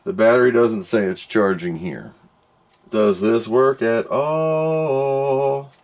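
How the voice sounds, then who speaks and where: A man's voice: medium pitch, takes it slowly, a little mellow. A man giving a casual, unscripted product review as host, thinking aloud and grumbling as he goes. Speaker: host of a product test video.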